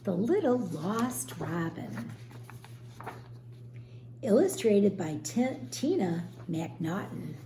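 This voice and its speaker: A woman teacher reading a storybook aloud expressively to young children, pausing to explain and ask questions. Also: medium pitch, very animated, mellow. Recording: roomy echo, faint background noise.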